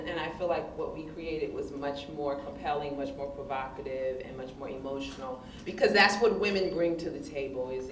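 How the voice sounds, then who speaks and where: A woman with a big voice answering on a discussion panel, expressive and emphatic, often laughing. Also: sits low. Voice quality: big, loud voice. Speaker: panelist, hip hop poet. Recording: distant mic in echoey room with noise.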